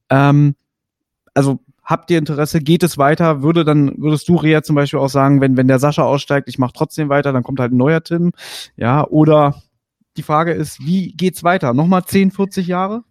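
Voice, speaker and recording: A man's voice: fairly high pitch, darkish tone, dry recording. Dry-sounding man hosting a podcast. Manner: rambling, hesitant questions with fillers. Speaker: podcast host. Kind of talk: casual interview questions.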